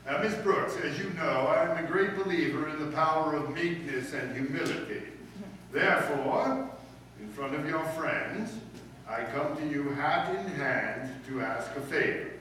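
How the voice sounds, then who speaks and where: A man playing a pompous high school principal who feigns humble meekness in florid speech, in old-time radio comedy style. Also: measured pace, a bit bright. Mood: unctuous, humble. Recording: echoey room, distant mic, faint noise.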